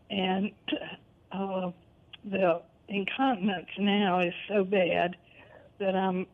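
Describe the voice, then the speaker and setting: Woman in her eighties, caller, slow, flat voice, phone-line sound. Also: mid-range voice. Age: 80s. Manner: slow, halting, repeats herself. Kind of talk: call-in question.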